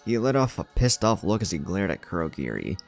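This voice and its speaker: A man's voice: sits low, big pitch swings, a little fast, vocal fry, faint music behind. A man reading a scripted story as a narrator, with dramatic delivery. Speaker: narrator of a fan fiction story.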